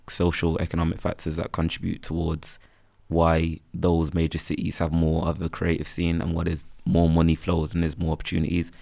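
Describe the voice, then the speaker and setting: A young man with a Birmingham accent, chatting in a relaxed, rambling way as an interview guest. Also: sits low, vocal fry, no room sound. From England. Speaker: guest, freelance photographer. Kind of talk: casual interview chat.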